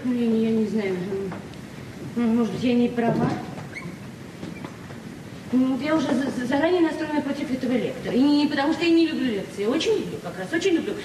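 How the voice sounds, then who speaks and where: A woman's voice: high voice, brisk, natural voice. An actress in a radio play as a naive, talkative librarian in her forties, speaking hesitantly and repeating herself. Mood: indignant, flustered. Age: 40s. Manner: flustered, hesitant, chatty, repeating words. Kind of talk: naturalistic Soviet radio drama acting. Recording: echoey, distant, noisy 1970s radio recording.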